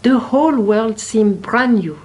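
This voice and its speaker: Slow-reading woman telling a children's story. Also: on the high side, expressive, a little mellow. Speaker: picture book reader at a library.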